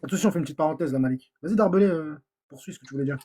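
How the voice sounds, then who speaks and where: A man moderating a live online debate, briskly handing out turns to speak. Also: fairly high pitch, warm tone, a little hiss or hum.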